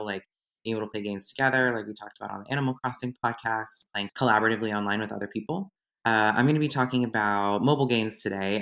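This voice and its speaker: A slightly hoarse young man hosting his podcast in a chatty, rambling solo monologue. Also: fairly low pitch, a bit bright. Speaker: podcast host. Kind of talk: casual solo podcast chat.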